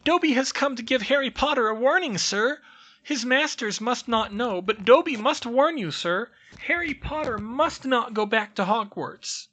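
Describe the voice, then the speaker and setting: A tiny, frantic house-elf squeaks in a piercing high voice, pleading and bowing with every sir. His grammar is broken and his delivery is loud and lively, swooping up and down. The tone is bright and sharp. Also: moderate pace, heavily acted, rough recording. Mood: earnest pleading. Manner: servile, says sir constantly, broken grammar.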